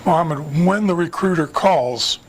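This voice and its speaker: A man's voice: a little high, a little slow. A man co-hosting an advice segment, putting prompting questions to his guest expert as interviewer. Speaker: interviewer and co-host. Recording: faint background noise.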